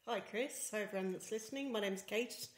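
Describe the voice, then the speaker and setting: A woman with an Australian accent co-hosting a casual podcast, speaking quietly and hesitantly from off to the side of a shared microphone. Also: mid-range voice, brisk. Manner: soft-spoken, quiet, hesitant with ums. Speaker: co-host, tech journalist. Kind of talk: casual chat. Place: home apartment. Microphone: sharing one mic, sitting off to the side. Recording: roomy, quiet voice off-mic.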